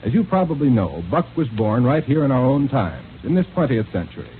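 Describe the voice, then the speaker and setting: A man's voice: mid-range voice, sing-song, brisk. A man with an American accent reading scripted announcements and narration in 1930s radio style. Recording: tinny 1930s radio recording, faint noise.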